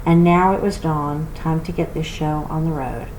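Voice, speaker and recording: Low-voiced woman reading aloud, roomy echo. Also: fairly even intonation, moderate pace, a little mellow. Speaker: children's library read-aloud reader. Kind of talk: expressive storybook read-aloud. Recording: roomy echo with clear background noise.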